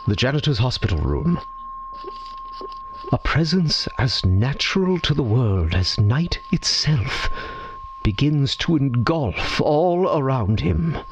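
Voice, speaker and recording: A solemn narrator of a radio drama, speaking slowly with broad, dramatic swings in pitch. His delivery is measured and portentous, over faint noise. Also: big pitch swings. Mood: ominous, suspenseful. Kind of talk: scripted old-time radio narration.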